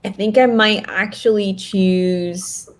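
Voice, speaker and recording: A woman's voice: mid-range voice, fairly even intonation, slow pace. A woman chatting casually as a podcast co-host, thinking aloud and correcting herself as she goes. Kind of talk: casual chat about fictional universes.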